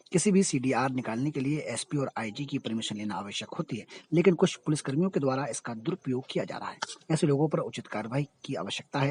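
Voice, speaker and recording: A male news narrator delivers a scripted report. His pace is fast and his voice has a rough, husky edge, sitting at an ordinary male pitch. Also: a little mellow.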